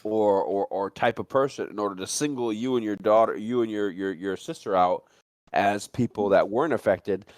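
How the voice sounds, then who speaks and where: Casting: man with a low, dry-recorded voice, loose conversational rambler, circles back on his own phrases. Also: normal speed, no room sound. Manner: rambling, repeats himself, casual swearing. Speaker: livestream talk participant. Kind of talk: casual chat, animated storytelling and speculation.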